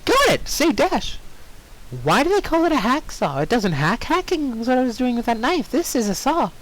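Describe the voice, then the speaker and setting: A chirpy young cartoon pony mare acted out by a man, pitched way up high. She is manic and cruel, scolding in a pouty sing-song that jumps around with animated swings. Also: moderate pace, exaggerated voice, low-quality recording. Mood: gleefully cheerful. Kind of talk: cartoon fan fiction character reading.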